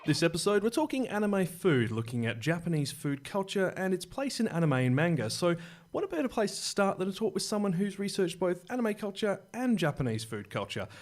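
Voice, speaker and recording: Podcast host, man, chatty, expressive intonation. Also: high voice, brisk. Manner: friendly, leading the conversation. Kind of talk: casual podcast chat.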